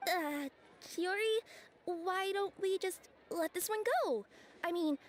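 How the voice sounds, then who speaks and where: A woman voicing a cheerful, kind-hearted young cat-spirit courier girl in a video game, speaking in a friendly, gently worried way. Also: extremely high pitch, slow. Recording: game audio with music under it. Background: game score music.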